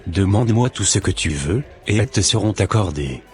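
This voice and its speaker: Deep-voiced, earnest cartoon husband played by a man. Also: animated, a little fast, soft background music. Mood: smitten. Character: pious, easily charmed husband, earnest and courteous. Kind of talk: scripted cartoon dialogue.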